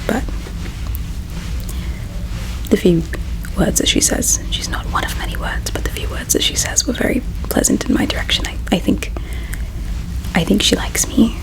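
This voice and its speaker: A woman voicing a gentle, melancholy alien palace servant in an ASMR roleplay, speaking in a hushed, halting, confiding way. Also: medium pitch, creaky, natural voice, poor audio. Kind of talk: ASMR roleplay, soft whispering.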